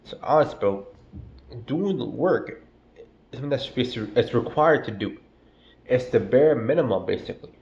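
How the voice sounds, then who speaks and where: A man's voice: medium pitch, animated, moderate pace, pauses often, a little mellow, echoey room, muffled recording, slight background noise. A man with a stuffy cold giving a casual, slangy, profane sermon, calling listeners "bro" and "man". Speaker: preacher. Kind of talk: casual street-style sermon.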